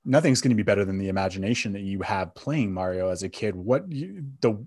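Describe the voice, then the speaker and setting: A man's voice: fairly low pitch, lively intonation, fast talker, darkish tone, breathy edge, no echo, studio quality. A man co-hosting a podcast in a casual, conversational style, giving his opinions bluntly. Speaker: podcast co-host. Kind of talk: casual chat.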